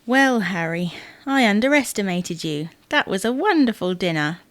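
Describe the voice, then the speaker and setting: A woman's voice: mid-range voice, sing-song, normal speed, dry recording, soft background music, lightly acted. Woman acting a fussy, exasperated wife. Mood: dry, teasing. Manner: bickering, insistent, theatrically indignant. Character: fussy, exasperated wife fretting over wedding clothes. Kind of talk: domestic audio sitcom acting.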